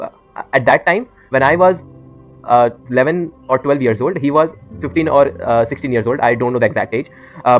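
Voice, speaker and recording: A teenage boy with an Indian English accent chats to camera at a rapid clip, his sentences tumbling out between uh's. He drifts between English and Hindi mid-thought in a loose, rambling way, with faint music playing under him. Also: mid-range voice. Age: teens. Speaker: YouTuber. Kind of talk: casual talk to camera.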